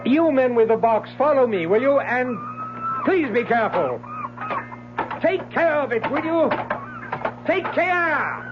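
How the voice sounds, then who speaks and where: Radio drama: very high-strung, imperious gentleman, pitched very high, snappish, muffled old recording. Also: animated, measured pace, pauses often. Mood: frantic, angry. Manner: imperious, barking orders, flustered. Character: agitated, irritable, secretive newlywed gentleman traveler. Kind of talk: vintage radio drama acting. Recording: muffled vintage radio recording, music behind.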